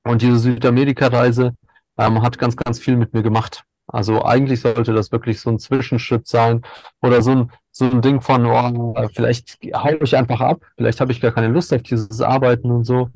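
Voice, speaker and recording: A man in his thirties, raised in Germany, chatting in a relaxed way as an interview guest, laughing and using many fillers. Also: mid-range voice, moderate pace, dry recording, poor audio. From Ukraine, raised in Germany. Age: 30s. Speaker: guest, cacao entrepreneur. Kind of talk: casual interview talk. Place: indoors at night, insects heard outside.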